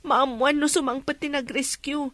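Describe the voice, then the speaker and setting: A woman's voice: sits high, steady tone, normal speed, a bit bright, no echo. A woman with an Ilocano accent playing an earnest, worried young woman explaining grave matters to her elders in a radio drama. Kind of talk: Filipino radio drama acting.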